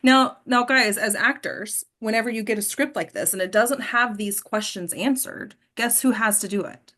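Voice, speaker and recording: A woman's voice: on the high side, normal speed, a bit bright. A woman acting coach hosting a casual discussion, explaining with emphasis and prompting the group with questions.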